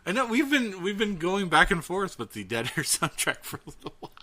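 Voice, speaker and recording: A man co-hosting a casual podcast, talking in a chatty, halting way. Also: high-pitched, sing-song, bright, forceful tone, slight background noise. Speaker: podcast co-host.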